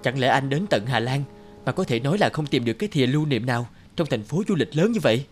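A man playing a gentle, hesitant married businessman with a guilty streak in an animated drama. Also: mid-range voice, fast talker, lightly acted. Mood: uneasy. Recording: music under the voice.

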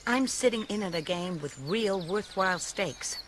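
Woman playing a brassy American lady crook, very animated. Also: mid-range voice, normal speed, lightly acted. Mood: sly, scheming. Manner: slangy, knowing. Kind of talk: 1980s radio drama acting. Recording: noisy radio recording with birdsong.

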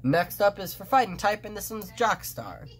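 A man's voice: high voice, very animated, moderate pace, soft background music. A man with a stuffed, nasal nose casually talks through his drawings at a table, rambling with many restarts and fillers. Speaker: fan artist presenting his drawings. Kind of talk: casual show-and-tell commentary.

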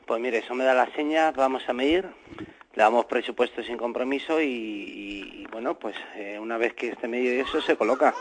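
A man's voice: medium pitch, fairly quick. A man with a Castilian Spanish accent working at a glass shop, chatting casually with a customer on the phone. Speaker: glazier shop employee taking a call. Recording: noisy telephone call recording.

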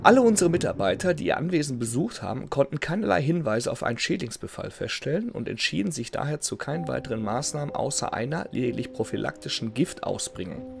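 A man's voice: medium pitch, big pitch swings, fast talker, hardly any pauses. A man in his thirties with a cold and a slightly nasal voice, chatting casually as he plays a game and reads its letters aloud from his home gaming room. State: has a cold. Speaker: let's play host. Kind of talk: casual gameplay commentary. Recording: voice over clear game music. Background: game music.

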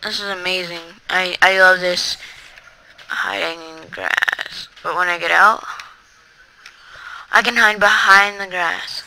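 A gamer giving rambling, chatty commentary over their own play, talking straight to viewers with sudden excitable outbursts. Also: medium pitch, slow, long pauses, a little hiss or hum, low-quality recording. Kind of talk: casual gameplay commentary.

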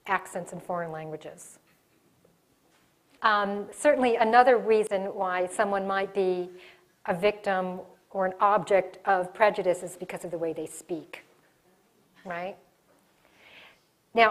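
A middle-aged woman giving a live workshop in a conference room, talking with her hands and often restarting her sentences. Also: medium pitch. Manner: animated, gestures a lot, restarts sentences. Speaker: diversity workshop presenter. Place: conference room in front of a projection screen.

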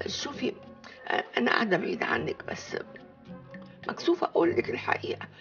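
Older Egyptian auntie, TV comedy, bossy, cunning, theatrical drawl, fry in the voice, animated melody. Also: female voice, on the high side, quick pace, muffled recording, faint music behind, exaggerated voice. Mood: coaxing. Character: bossy, theatrical older aunt giving cunning marital advice. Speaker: actor in a TV comedy series. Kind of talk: comic TV drama dialogue. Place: living room set, indoors.

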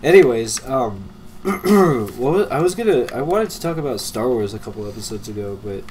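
A man's voice: mid-range voice, lively intonation, moderate pace, a little mellow, a little hiss or hum. A congested young man with a cold, rambling and laughing as he comments on a game he is playing. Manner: rambling, laughs often, trails off. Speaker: let's play commentator. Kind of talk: casual gameplay chat.

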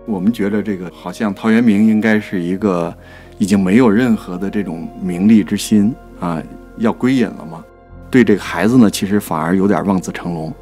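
A man's voice: low voice, a little fast, mellow, faint music behind. A man co-hosting a talk show, giving conversational commentary on classical poetry. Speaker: co-host and producer.

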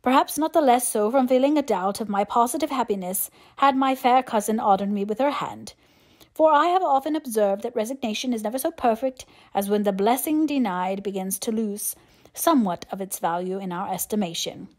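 A woman's voice: fairly high pitch, a little fast, a little mellow, natural voice. Lady doing a pompous stiff young parson guy from an audiobook, wordy, stately, full of himself. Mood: stiff displeasure. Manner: stately, long-winded, self-important. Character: pompous, stiffly formal young male clergyman. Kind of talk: audiobook character voice.

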